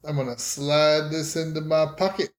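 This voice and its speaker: A man's voice: fairly high pitch, level tone, moderate pace. A man playing a tabletop role-playing game, improvising casually in and out of character. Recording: roomy, echoey home studio.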